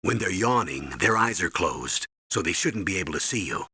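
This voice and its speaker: A stern American colonel briefing an operative in a video game. His low voice is husky and forceful, with clipped, instructional authority. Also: sing-song, normal speed, dry recording, heavily acted. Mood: instructive. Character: stern older military colonel giving mission orders by radio. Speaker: mission commander and briefer.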